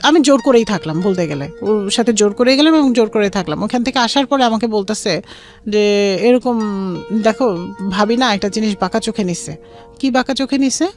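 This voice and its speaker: A woman's voice: medium pitch, animated, a little fast, darkish tone. Bangladeshi woman telling her story over music. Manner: re-enacts conversations, quoting both sides. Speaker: guest telling life story. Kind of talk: personal storytelling in an interview. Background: background music.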